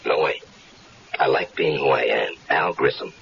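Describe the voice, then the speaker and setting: An actor playing a battered, cocky drifter in a 1970s radio drama, with a gravelly, wisecracking delivery. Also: male voice, extremely low pitch, moderate pace, full character voice. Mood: wry. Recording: muffled old radio recording with loud hiss.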